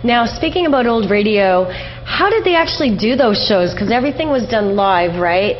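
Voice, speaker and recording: A woman's voice: on the high side, animated, normal speed, faint music behind. A woman with a North American accent hosting a casual radio interview, asking questions in an informal, chatty way. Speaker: radio show host and interviewer. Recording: muffled audio with faint background noise.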